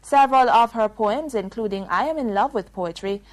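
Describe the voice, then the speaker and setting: A woman with a Jamaican accent reading scripted news bulletins in a crisp, formal delivery. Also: on the high side, moderate pace, poor audio. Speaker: news presenter.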